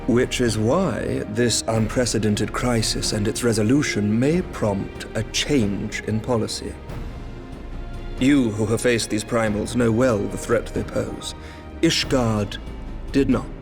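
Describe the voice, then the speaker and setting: An actor playing a shrewd, composed middle-aged knight commander who speaks formally and measuredly in archaic diction. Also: male voice, lowish voice, fairly even intonation, takes it slowly, gravelly, music in the background. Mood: calm, diplomatic. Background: orchestral game score.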